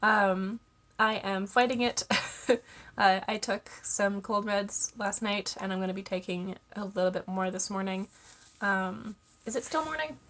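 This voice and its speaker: A woman's voice: mid-range voice, measured pace, fairly bright tone. A young woman vlogging to camera, congested with a cold and chatting casually with lots of ums and small laughs. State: congested, stuffy-nosed. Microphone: camera mic.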